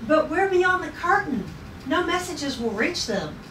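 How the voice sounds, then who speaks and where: A woman's voice: high-pitched, big pitch swings, measured pace, a bit bright, full character voice. A woman playing a young, dutiful but dryly sassy rocket navigator in a retro space adventure read-through. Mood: urgent. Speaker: actor at a script table read. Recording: echoey room, distant mic, noisy.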